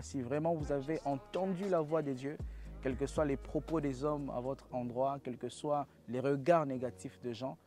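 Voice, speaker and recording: A young pastor from Congo recounts his calling and trials in an earnest, preacherly tone. His voice sits at an ordinary middle pitch, and he often restarts his phrases. Soft music plays faintly beneath him. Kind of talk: personal testimony talk.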